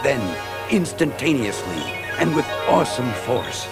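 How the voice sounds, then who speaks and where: Loud music plays under a man telling a dramatic space adventure on a 1980s story record. His pitch sweeps widely, and his delivery is theatrical and suspenseful, with deliberate, weighty phrasing. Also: big pitch swings, gravelly. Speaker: narrator.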